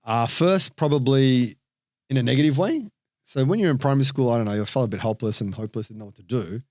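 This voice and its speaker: A man with an Australian accent tells his stories with energy as a podcast guest, slipping into the voices of the people he quotes. His pitch sits in the middle range. The sound is dry and close to the mic. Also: fast, no room sound. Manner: animated storyteller, acts out quoted voices. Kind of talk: storytelling interview chat.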